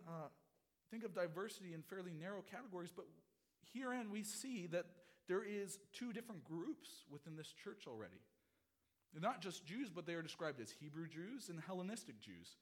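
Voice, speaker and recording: Higher-pitched guy preaching w/ a cold, hoarse, earnest, kinda repeats himself. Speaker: pastor. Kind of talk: sermon.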